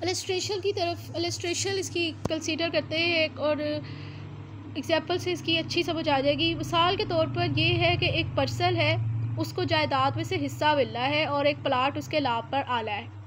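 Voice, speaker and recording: A woman with a Pakistani Urdu accent giving an explanatory law lecture, reading English statute text slowly word by word with frequent "uh" fillers. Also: sits high, fairly even intonation, background noise.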